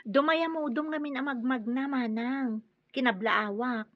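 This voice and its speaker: A woman's voice: sits high, measured pace, dry recording, lo-fi sound. An actress playing a well-meaning, helpful and patient woman in an Ilocano radio drama. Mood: earnest, explaining.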